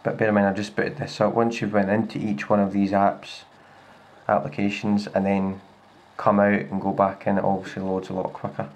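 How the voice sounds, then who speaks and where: A Scottish man talks through a laptop demo in a low voice. His speech is flat and gravelly, and he stops often for fillers and restarts. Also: moderate pace. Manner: relaxed, with many fillers and restarts. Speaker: tech reviewer. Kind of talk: casual hands-on demo. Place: indoors at a wooden table. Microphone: handheld camera filming a laptop screen.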